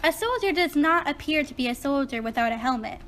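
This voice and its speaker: Woman as an earnest, high-voiced girl puppet. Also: lively intonation, a little fast. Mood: earnest, explanatory. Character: matter-of-fact, questioning young girl puppet named Kate. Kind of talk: children's puppet show dialogue and explanation.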